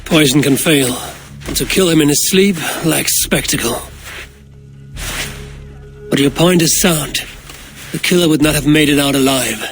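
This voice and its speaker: A Norse warrior from a video game story, speaking in a slightly high male voice. He is terse and matter-of-fact, with dry wit. Faint music sits behind him. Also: unhurried, pauses often. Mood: grave, resolute. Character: gruff, wry, plain-spoken Norse warrior, adult male. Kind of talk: cinematic video game acting.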